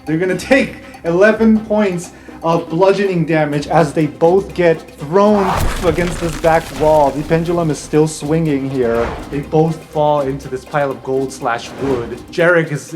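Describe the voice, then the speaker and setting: A game master narrating an improvised tabletop fantasy game in a casual, expressive storytelling way. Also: mid-range voice, unhurried. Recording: roomy echo, guitar music behind. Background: guitar music.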